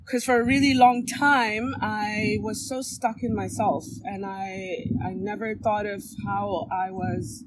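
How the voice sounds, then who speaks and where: Woman, 30s, heartfelt recovery share to a group in a room, halting and candid. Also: medium pitch, steady tone, poor audio. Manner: candid, halting, with many ums and restarts. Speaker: recovery meeting speaker. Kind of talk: personal share at a recovery meeting. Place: meeting room before a seated circle.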